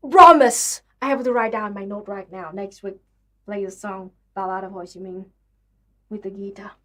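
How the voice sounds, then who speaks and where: A woman's voice: medium pitch, moderate pace, fairly bright tone. A young woman with a Vietnamese accent, chatting casually and apologetically on a livestream, sounding tired. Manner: chatty, apologetic, self-deprecating. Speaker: livestream host. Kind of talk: casual livestream chat with singing.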